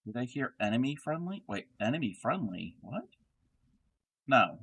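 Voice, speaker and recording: A man with a Southern American accent hosting a relaxed crochet livestream chat, his words often trailing off into murmurs while he works. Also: medium pitch, normal speed, husky. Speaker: host.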